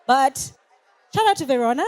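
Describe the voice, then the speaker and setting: A woman's voice: high voice, animated, moderate pace, a little mellow, soft background music. A woman hosting an interview, playful and teasing. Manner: playful, teasing, mixes in local slang. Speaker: host. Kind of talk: casual interview chat.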